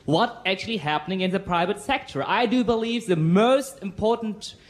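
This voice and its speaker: An audience member with a German accent, making a long, halting point to a panel. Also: mid-range voice. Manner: halting, searching for words. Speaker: audience member asking a question. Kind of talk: earnest audience comment.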